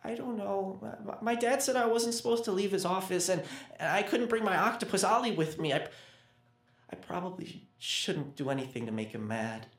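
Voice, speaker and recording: A woman's voice: medium pitch, expressive, normal speed, close to an everyday voice. A woman playing a timid but eager twelve-year-old boy in an audio drama, speaking hesitantly with frequent stammers. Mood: nervous. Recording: roomy, echoing sound.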